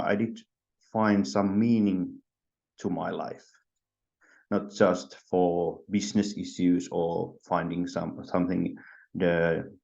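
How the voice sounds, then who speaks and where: A man's voice: low-pitched, vocal fry, darkish tone, highs cut off. A man from Finland speaking on a video call, hesitant and full of fillers. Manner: hesitant, many fillers and restarts. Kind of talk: personal talk on video call.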